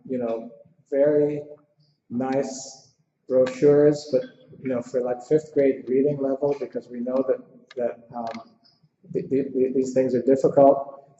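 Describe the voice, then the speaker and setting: A man's voice: medium pitch, mellow. An American man answering audience questions in an informal lecture, speaking in a thoughtful, conversational, somewhat hesitant way. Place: indoor lecture room with audience. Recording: muffled, echoey lecture hall with background noise.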